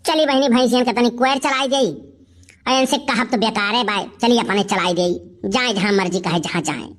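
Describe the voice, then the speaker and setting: A woman's voice: fairly high pitch, normal speed, a bit bright, lightly acted. A woman voicing a fretful, nagging village matriarch with an Awadhi accent, questioning and grumbling in a comedy cartoon. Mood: resigned. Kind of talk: comic cartoon dialogue.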